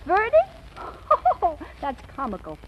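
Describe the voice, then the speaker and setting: Arch young wife, animated swooping pitch, sardonic, 1940s radio drama, dull vintage sound. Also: female voice, normal speed. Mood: wryly defiant. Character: spirited, teasing young wife in a marriage of convenience. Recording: muffled, noisy vintage radio recording.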